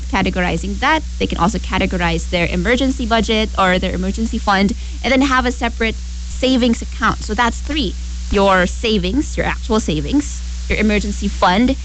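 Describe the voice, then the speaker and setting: A woman's voice: mid-range voice, slight background noise, low-quality recording. A young woman hosting a radio show, chatting casually and putting questions and advice straight to listeners. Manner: conversational, addresses listeners with questions. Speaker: radio host.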